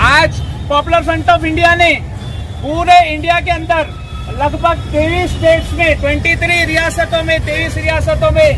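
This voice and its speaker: An Indian-accented man giving an emphatic protest speech in short, repeated phrases. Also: extremely high pitch. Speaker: protest speaker, political activist. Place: outdoor street protest before a crowd. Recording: crowd and traffic noise behind.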